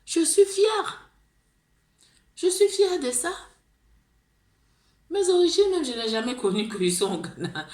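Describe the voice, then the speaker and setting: A woman's voice: high voice, lively intonation, slow, pauses often, a little mellow, reverberant. A woman with an Ivorian French accent gives an impassioned monologue, pleading, repeating herself and restarting words. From Ivory Coast. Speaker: video blogger.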